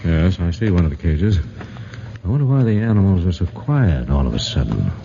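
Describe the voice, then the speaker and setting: An actor playing a cool, commanding middle-aged American investigator in a 1940s radio drama, brisk and clipped. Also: male voice, low voice, big pitch swings, dark tone, soft background music. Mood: urgent, alert. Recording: muffled, noisy 1940s radio recording.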